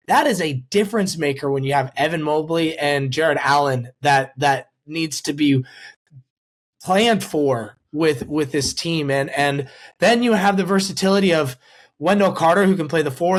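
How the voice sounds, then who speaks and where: Male podcast host, slightly high-pitched, for casual sports discussion with a conversational, explanatory delivery.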